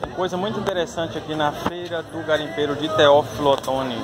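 Brazilian guy filming a street market walk, kinda high voice, listing stuff admiringly, super busy crowd all around. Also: fairly quick, heavy background noise. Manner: admiring, listing what is on display. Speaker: vlogger. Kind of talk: casual walking tour commentary. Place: outdoor street market under tents. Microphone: handheld camera or phone while walking. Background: loud crowd chatter.

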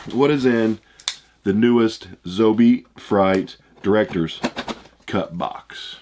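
A man in his thirties with a low voice gone hoarse, since he is losing it. He talks in a chatty, rambling, upbeat way while opening a box at a desk in an indoor room. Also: noisy background. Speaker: unboxing channel host. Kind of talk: casual unboxing vlog. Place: room with shelves of shoe boxes, indoors.

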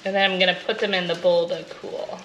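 A woman's voice: on the low side, level tone, fast talker, a bit bright, muffled recording, slight background noise. A woman in her thirties from Alaska, chatting casually as she cooks and explains each step to the camera in her home kitchen. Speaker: homestead vlogger. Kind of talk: casual cook-along vlog. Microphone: handheld or mounted camera, moving around. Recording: clear kitchen clatter behind. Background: clattering pots, dishes and cutlery.

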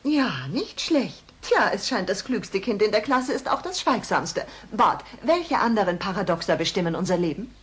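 An actress playing an encouraging, polite schoolteacher in a German cartoon dub, formal and instructive. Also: female voice, medium pitch, sing-song, moderate pace, hardly any pauses, natural voice. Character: encouraging, polite adult schoolteacher of gifted children.

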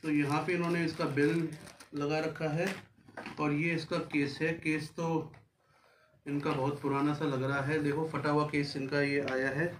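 A man's voice: on the high side, little pitch movement, moderate pace. A man giving a casual hands-on unboxing, recorded across a desk in a small room. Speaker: vlogger presenting a product unboxing. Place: small furnished office room, indoors. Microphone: camera a few feet away across a desk. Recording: roomy echo, faint background noise.

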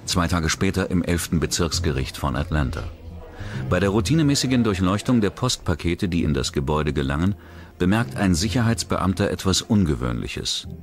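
Deep-voiced man narrating true crime. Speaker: documentary narrator. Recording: music bed behind the voice. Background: background music.

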